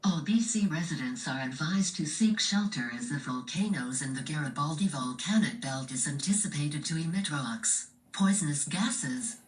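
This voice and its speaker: A low-voiced woman delivers an official emergency announcement. Her reading is measured and impersonal, with a roomy echo around every phrase. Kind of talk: formal scripted emergency broadcast announcement.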